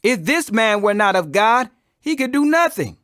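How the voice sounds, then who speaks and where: Man reading as formerly blind beggar, high bright voice, plainspoken, boldly defiant, emphatic scripture storytelling. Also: very animated, normal speed, no echo, clean studio sound.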